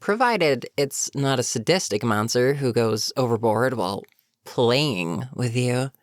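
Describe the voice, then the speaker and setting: A voice actor playing a bitter, menacing goblin captain in a fantasy audio roleplay, speaking to a captive with sardonic, unhurried menace. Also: mid-range voice, expressive, no room sound, studio quality, close to an everyday voice. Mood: smug, matter-of-fact. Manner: sardonic, unhurried, matter-of-fact threats. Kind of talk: scripted fantasy audio roleplay, addressing the listener.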